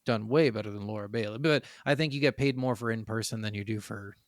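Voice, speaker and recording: A man's voice: on the low side, fast talker, dry recording, studio quality. A man co-hosting a podcast in casual banter, blunt and profane with slangy phrasing. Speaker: podcast co-host. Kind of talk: casual banter between friends. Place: remote recording from separate locations.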